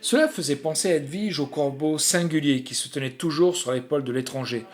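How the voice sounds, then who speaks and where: A man narrating a literary short story in an expressive, theatrical way with deliberate phrasing. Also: a little high, faint music behind.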